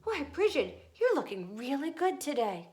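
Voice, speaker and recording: A woman voicing a patient mother of a little girl in a storybook, with measured replies. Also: high-pitched, big pitch swings, darkish tone, voice pushed up. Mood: approving.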